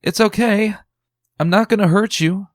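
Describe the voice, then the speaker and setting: A man voicing a young female unicorn pony scholar, earnest and anxious, in a raised, high voice. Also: normal speed, dry recording, lightly acted. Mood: calling gently. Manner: high bright calling voice, earnest.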